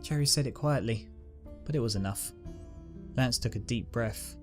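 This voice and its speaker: A man narrating a fan-written pony story in a calm, steady reading voice. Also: medium pitch, normal speed. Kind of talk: fanfic audiobook reading. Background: guitar music.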